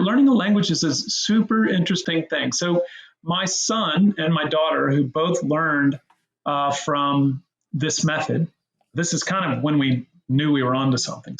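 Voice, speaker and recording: Talkative man with a gravelly voice. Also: on the high side, reverberant, poor audio. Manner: rambling, animated, many restarts and fillers. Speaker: guest, co-founder of a language app. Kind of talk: casual interview talk.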